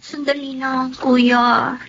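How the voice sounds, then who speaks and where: A high, plaintive Filipino little sister. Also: female voice, little pitch movement, takes it slowly, a bit bright, lo-fi sound, full character voice. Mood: pained, plaintive. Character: Eileen, ailing, considerate younger sister. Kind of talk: Filipino radio drama acting.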